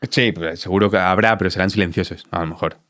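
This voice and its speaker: Man, andalusian spanish accent, thirties, very deep, smooth clean tone, dry close sound, thoughtful, pauses and fillers. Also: steady tone, fairly bright tone, dry recording. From Spain. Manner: reflective, halting with many fillers. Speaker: dentist guest. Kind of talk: relaxed interview answers.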